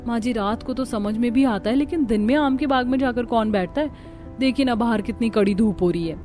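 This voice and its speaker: Woman doing young hindi cartoon daughter-in-law, highish whiny voice, fussy complainer, music behind. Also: brisk, mellow, natural voice. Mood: protesting. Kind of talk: Hindi cartoon story dialogue.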